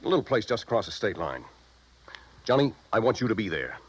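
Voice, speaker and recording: A worried, earnest insurance boss who presses his case with urgent pleading. His voice sits low and the words come fast, heard on a vintage radio drama recording. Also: sing-song, slightly rough. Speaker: insurance company man. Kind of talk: 1950s radio drama acting.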